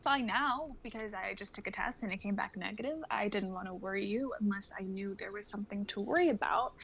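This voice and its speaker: A woman's voice: mid-range voice, a little fast, vocal fry, a bit bright. A woman playing an anxious, lonely young woman in a dramatic script reading over noisy livestream audio. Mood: defensive, anxious.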